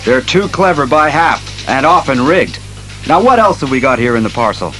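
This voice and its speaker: A man playing a stern, analytical masked detective, speaking resolutely and deliberately in a superhero audio drama. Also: medium pitch, sing-song, a bit bright, faint music behind, poor audio. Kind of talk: superhero radio drama acting.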